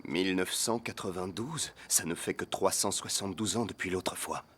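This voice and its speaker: Stern young samurai lost in time, formal, deep cartoon voice, score under it. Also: male voice, moderate pace, a bit bright, slightly rough. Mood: astonished. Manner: solemn, formal.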